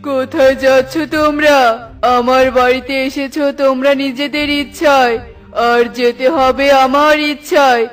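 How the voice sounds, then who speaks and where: A man's voice: extremely high pitch, flat delivery, normal speed, fairly bright tone, soft background music. A man playing a stern, menacing tantrik hermit in a children's cartoon, speaking in a commanding, admonishing way.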